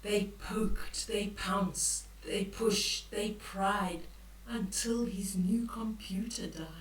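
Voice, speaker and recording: Very slow-paced older woman in echoey room. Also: medium pitch. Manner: expressive, drawn-out emphasis, addressing children. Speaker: children's storytime host. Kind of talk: read-aloud bedtime story with counting and questions to young children. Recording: echoey room, distant from the mic.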